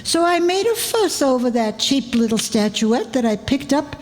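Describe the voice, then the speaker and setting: A woman's voice: sits high, big pitch swings, normal speed, darkish tone. A woman playing an eccentric, wealthy older aunt in a radio play, wilful and theatrical, with sly affection. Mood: gleeful, defiant. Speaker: amateur radio drama player. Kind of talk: old-time radio play acting. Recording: reverberant hall, stage performance.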